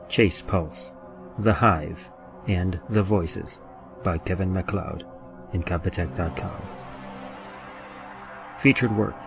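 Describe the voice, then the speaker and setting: Very deep-voiced male podcast announcer. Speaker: podcast narrator reading credits. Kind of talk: scripted announcement. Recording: dull lo-fi sound. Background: music.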